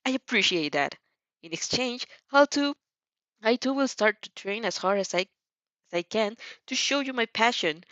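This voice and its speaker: A woman's voice: on the high side, lively intonation, normal speed, fairly bright tone, no room sound, muffled recording. A woman voicing a passionate, earnest teenage hero student, a boy who speaks in emphatic exclamations in an amateur audio drama. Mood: fervent.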